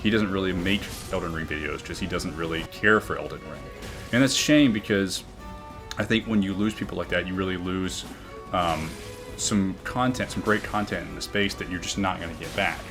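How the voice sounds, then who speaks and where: A man with a booming voice talking earnestly about his gaming channel, often reflective, with frequent fillers and restarts. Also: a little fast, vocal fry, music in the background. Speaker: gaming YouTuber. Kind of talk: game commentary and channel talk.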